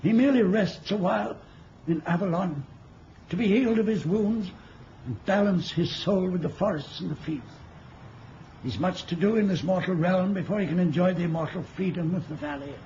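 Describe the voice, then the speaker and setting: An ancient wizard speaks in a high-pitched voice with grand, poetic, archaic phrasing. He is a wistful mentor in an old radio play. The sound is dull, with some background noise. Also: moderate pace, warm tone. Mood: gently instructing.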